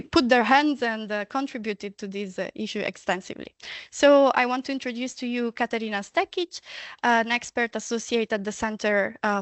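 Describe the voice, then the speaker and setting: A woman moderating an online panel, introducing speakers with measured pauses and fillers. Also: fairly high pitch, fairly bright tone, no echo.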